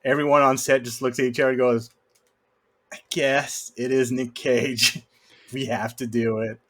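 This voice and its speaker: A man's voice: medium pitch, flat delivery, moderate pace, fairly bright tone. A man co-hosting a podcast, casually discussing film and working through his points in an explanatory way. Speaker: podcast co-host.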